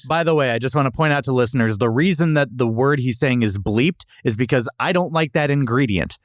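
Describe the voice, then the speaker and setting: A man's voice: medium pitch, brisk, no room sound, studio quality. A man hosting a podcast, chatting casually about food in an opinionated, jokey way. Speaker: podcast host. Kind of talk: casual food review chat.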